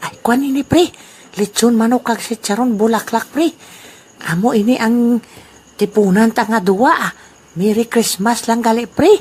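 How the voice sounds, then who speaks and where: A woman's voice: a little high, moderate pace, darkish tone, slightly rough. A woman voicing a scheming old man, a drinking buddy who chuckles and laughs often, in an amateur radio play. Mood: friendly, sly. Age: over 60.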